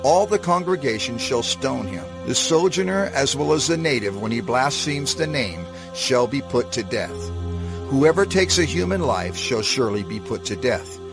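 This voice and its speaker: Expressive man solemnly reading scripture. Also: mid-range voice. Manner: solemn, measured, declarative. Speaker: scripture reader. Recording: music under the voice.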